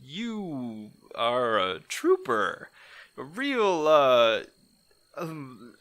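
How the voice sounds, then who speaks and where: Man playing a cocky, animated wisecracking forger. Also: medium pitch, extremely slow, no echo, exaggerated voice. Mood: flustered teasing. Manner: theatrical, teasing, exaggerated delivery. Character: cocky, flirty, wisecracking male forger in a heist crew. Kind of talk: audio drama acting.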